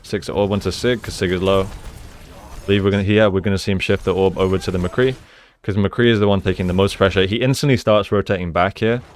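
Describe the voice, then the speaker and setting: A man with a low, smooth voice talks through gameplay like a coach. He explains each play casually and slips into gamer slang as he goes. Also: steady tone, fast. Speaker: esports coach and gameplay analyst. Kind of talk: live gameplay commentary and breakdown.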